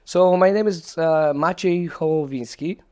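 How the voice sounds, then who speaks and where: A middle-aged man from Poland speaking English with a Polish accent in a conversational interview, haltingly repeating words. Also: a little high, mellow, clear, resonant tone, dry recording, studio quality. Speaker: podcast guest, entrepreneur.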